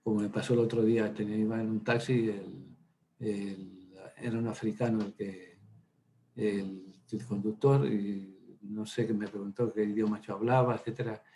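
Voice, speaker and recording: Low mellow-voiced priest lecturing casually. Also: male voice, steady tone, normal speed, slight background noise. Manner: chatty, digressive, with hesitant fillers and asides. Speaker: priest teaching a Bible workshop. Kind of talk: informal Bible lecture.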